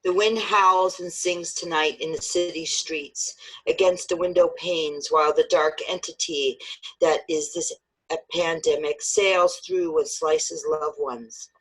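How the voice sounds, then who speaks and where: A man's voice: a little high, steady tone, a little slow, fairly bright tone, slightly rough, low-quality recording. A man, an actor, giving a poetic and solemn dramatic reading of a memorial piece.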